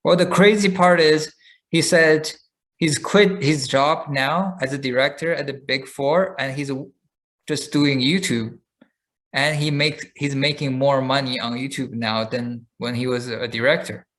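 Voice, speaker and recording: A man's voice: medium pitch, a little slow. Man casually telling a story on a podcast. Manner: relaxed storytelling with fillers and restarts. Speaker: podcast co-host. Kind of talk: casual chat.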